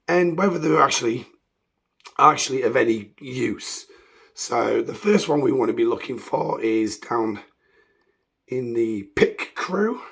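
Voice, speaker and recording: A man hosts a casual game review, his voice croaky from illness, rambling with ums and self-corrections. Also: medium pitch. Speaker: gaming channel host.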